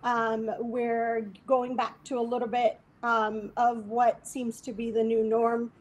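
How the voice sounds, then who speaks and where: Measured woman guest with frequent "um"s. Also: fairly high pitch, level tone, muffled recording. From Bangladesh. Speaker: guest, tech executive. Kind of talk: remote interview.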